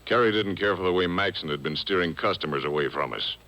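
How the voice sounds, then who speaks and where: An actor playing a guarded, menacing gambling-club boss in a 1950s radio crime drama, with terse, cool delivery. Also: male voice, sits low, lively intonation, quick pace, pushed and bright, dull audio, exaggerated voice. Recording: old radio broadcast taped on cassette.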